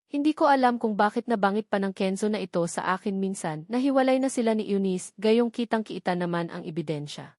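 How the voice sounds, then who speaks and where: A woman narrates a romance-style novel in the first person, reading from a script. Her medium-pitched voice is recorded very dry, with hardly any room sound around it. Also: a little mellow, no room sound. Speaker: audiobook narrator.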